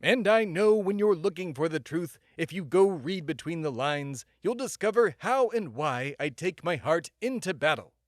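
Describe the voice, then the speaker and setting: A man's voice: on the high side, expressive, moderate pace, hardly any pauses, no room sound, studio quality, close to an everyday voice. A man playing a pompous, self-important male patriot who hosts a comedy podcast in a mock-serious, grandiose way. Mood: grandly dramatic. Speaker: podcast host. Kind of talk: mock-serious comedic monologue and dramatic reading.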